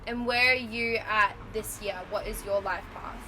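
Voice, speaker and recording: A woman hosting a casual interview, curious, asking questions and thinking aloud until her voice trails off quietly. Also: mid-range voice, steady tone, moderate pace, background noise. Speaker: podcast host.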